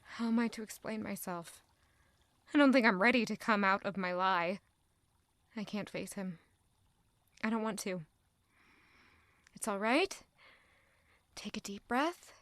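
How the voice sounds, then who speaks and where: A woman's voice: medium pitch, measured pace, pauses often, a bit bright, no room sound, lightly acted. An actress playing an anguished, proud young court lady in an audio drama, brooding intimately in a dramatic first-person monologue. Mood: subdued, uneasy. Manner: intimate, brooding, emotionally intense.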